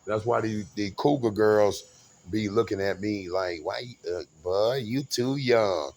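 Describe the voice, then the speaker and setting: Forties male, lower pitch, grandiose rambling monologue with many restarts. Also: measured pace, a little mellow. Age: 40s. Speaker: vlogger.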